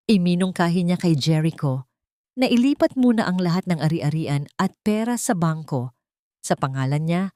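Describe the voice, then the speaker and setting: A woman's voice: lowish voice, darkish tone, no echo, clean studio sound. A woman narrating a scripted dramatic story as its storyteller.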